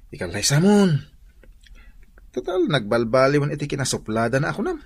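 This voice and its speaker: A man's voice: mid-range voice, huge pitch swings, a little fast, vocal fry, breathy edge, exaggerated voice. An actor playing a teasing, chummy male buddy in a radio drama. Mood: teasing, encouraging.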